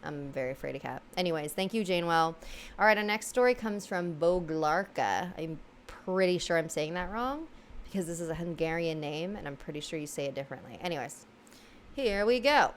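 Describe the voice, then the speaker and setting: Young woman, host, low-ish voice, giggly, filler-heavy, faint hum behind. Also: animated, a little fast. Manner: giggly, filler-heavy chatter between readings. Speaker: host reading viewer stories. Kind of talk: casual read-aloud storytelling.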